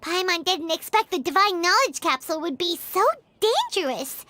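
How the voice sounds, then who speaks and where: A woman's voice: very high voice, expressive, moderate pace, sharp tone, no room sound, exaggerated voice. A woman voicing a small, chatty floating companion creature who talks about herself in the third person, in game dialogue. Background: game music.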